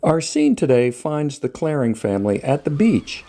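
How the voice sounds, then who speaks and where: A man hosting and reading scripted narration to introduce a dramatic scene, in a measured storyteller's manner. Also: a little high, very animated, warm tone. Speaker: host, writer-director.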